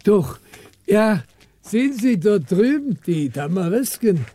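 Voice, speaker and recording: An actor playing a chatty, uneasy cemetery keeper in a German radio crime drama, husky, creaky and unhurried. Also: male voice, high voice, big pitch swings, dark tone, heavily acted. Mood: helpful. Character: talkative male cemetery keeper, devoted to the dead, uneasy.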